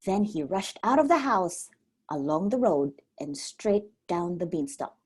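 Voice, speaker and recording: Slow, animated woman reading to children. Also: fairly low pitch, husky. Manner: explains words simply for small children. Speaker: preschool teacher reading a storybook aloud. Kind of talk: expressive children's storytelling.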